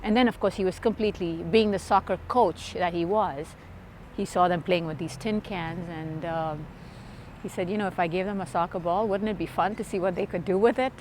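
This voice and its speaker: A woman's voice: fairly low pitch, fairly quick, darkish tone, a little hiss or hum. A middle-aged woman giving an unscripted interview recollection, hesitant and repeating words. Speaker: interviewee, bereaved mother.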